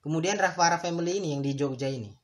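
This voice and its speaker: Reflective male podcast host, measured delivery. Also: fairly high pitch. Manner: thoughtful and explanatory, stretching key words for emphasis; addresses listeners directly, with a moralizing tone. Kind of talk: solo podcast commentary.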